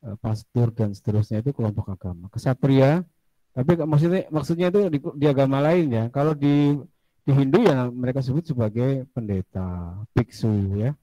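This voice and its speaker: A man's voice: medium pitch, moderate pace, warm tone, dry recording, low-quality recording. A man teaching a casual classroom lecture, chatty, asking students questions and drifting into asides.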